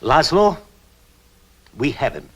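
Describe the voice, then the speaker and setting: An earnest, fatherly old man. His pitch rises and falls widely as he pleads and scolds. He sounds like a figure from a vintage TV crime drama. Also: sing-song, slow, fairly bright tone, breathy edge, heavily acted. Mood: stern. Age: older. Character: elderly Hungarian community elder, pleading and reasonable. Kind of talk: 1960s TV crime drama acting.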